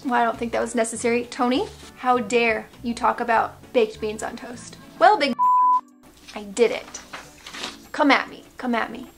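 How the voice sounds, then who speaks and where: An American woman, around her thirties, giving casual reaction commentary as a vlog host with playful sarcasm and animated asides. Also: a little high, normal speed, creaky, soft background music. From United States.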